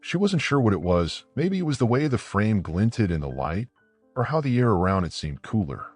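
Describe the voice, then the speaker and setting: A man's voice: lowish voice, sing-song, moderate pace, darkish tone, slightly rough, faint music behind. A man narrating a scripted folktale as a dramatic storyteller.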